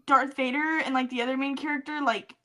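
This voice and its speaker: A woman's voice: high-pitched, steady tone, fast talker, pushed and bright. A woman podcast co-host chatting casually and telling comic stories with teasing, dry sarcasm. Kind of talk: casual comedic chat and storytelling.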